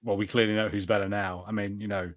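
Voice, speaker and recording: A man's voice: on the low side, flat delivery, fast talker. A man co-hosting a podcast with casual, playful, joking banter. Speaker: podcast co-host.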